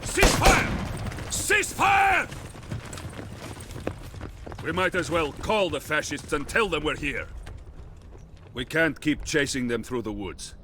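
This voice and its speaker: An actor playing an exasperated Soviet field officer who barks orders. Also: male voice, on the high side, very animated, slow, long pauses, noisy background. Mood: urgent. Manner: barking orders.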